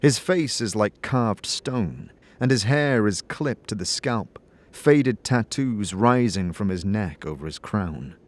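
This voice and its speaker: A man narrating a fantasy story dramatically in the second person, as a game master. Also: fairly low pitch.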